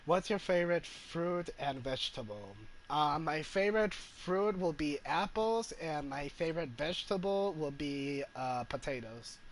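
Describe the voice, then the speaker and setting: A man's voice: a little high, expressive, a little slow, hardly any pauses, slight background noise. A man chatting casually as a gaming streamer while he plays. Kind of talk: casual chat while playing.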